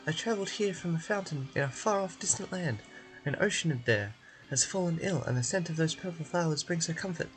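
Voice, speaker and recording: Man doing a storybook witch, high-pitched, courteous, slow pleading, music in the background. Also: expressive, close to an everyday voice, rough recording. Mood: polite pleading.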